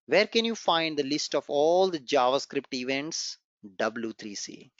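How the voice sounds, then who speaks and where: A man's voice: a little high, animated, measured pace, a bit bright, no echo. A man whose first language is Gujarati teaches a live online coding class, encouraging his students and repeating the key points as he walks through the code step by step. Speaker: programming instructor. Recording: dry, dull lo-fi online class recording.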